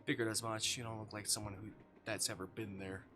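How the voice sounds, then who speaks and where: A man's voice: on the low side, level tone, fairly quick, over music, lightly acted. Man reading as an earnest older male passenger. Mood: awkward, chatty. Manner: hushed, earnest, gentle urgency. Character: older male train passenger, chatty, awkward, gravely cautionary.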